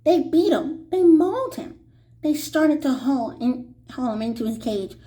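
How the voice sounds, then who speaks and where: A woman reads a children's storybook aloud with plenty of drama. Her voice is high and swings widely in pitch, and she takes her time over each phrase. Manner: animated, dramatic, deliberate. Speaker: children's audiobook reader. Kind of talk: expressive read-aloud storytelling.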